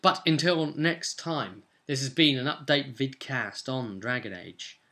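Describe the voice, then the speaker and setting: Bright-voiced young British man, chatting casually. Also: mid-range voice, unhurried, slight background noise. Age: 20s. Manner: rambling, conversational, with tag questions. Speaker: game master recapping sessions as vlogger. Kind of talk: casual chat to camera. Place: small indoor room at home.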